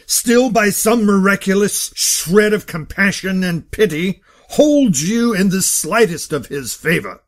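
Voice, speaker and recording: A man's voice: fairly high pitch, heavily acted. A man playing a stern, pompous court-martial judge in an audio drama, speaking in formal, declamatory oratory. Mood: grave, scornful.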